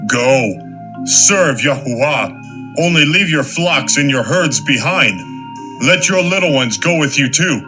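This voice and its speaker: A man playing a proud, hot-tempered Egyptian king in a scripture dramatization, forceful and imperious. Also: on the high side, animated, measured pace, over music. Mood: angry, commanding.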